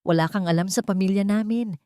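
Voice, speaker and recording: A woman's voice: medium pitch, a little fast, no room sound, clean studio sound, close to an everyday voice. A woman voicing a guarded, frightened woman who turns defensive and desperate in a dramatic story. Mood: angry, desperate. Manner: stammering, then sharp and desperate. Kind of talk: dramatic audiobook character voice.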